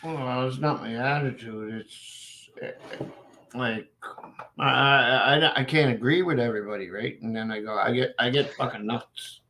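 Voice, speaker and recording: A man in casual chat who is drinking, gruff and rambling, swearing, with halting drawn-out phrases. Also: mid-range voice, bright, forceful tone, slight background noise.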